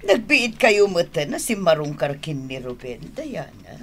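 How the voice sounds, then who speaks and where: A woman's voice: lowish voice, big pitch swings, unhurried, fairly bright tone, slight background noise. An actress playing a fussing, anxious mother in an Ilocano radio drama, exclaiming and drawing out her words, with an Ilocano accent. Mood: concerned, fussing.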